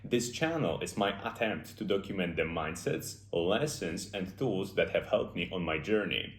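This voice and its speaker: Deep-voiced Polish man, earnest and reflective. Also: animated, moderate pace. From Poland. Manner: earnest, reflective, with frequent "uh" fillers. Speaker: self-improvement YouTuber. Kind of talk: unscripted motivational monologue. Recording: echoey room.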